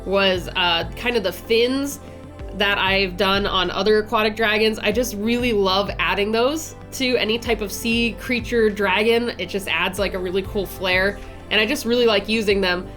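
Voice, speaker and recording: A young woman in her twenties narrates her art vlog in a relaxed, friendly way, her voice noticeably nasal from a lingering cold. She rambles cheerfully with plenty of ums and self-corrections. Soft music sits quietly underneath. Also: medium pitch. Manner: chatty, upbeat, many fillers and restarts. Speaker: art channel host.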